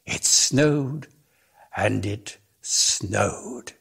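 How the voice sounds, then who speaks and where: A man giving an expressive, theatrical reading of lyrical prose as a measured storyteller. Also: fairly low pitch, creaky, husky. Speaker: reader of a dramatic story.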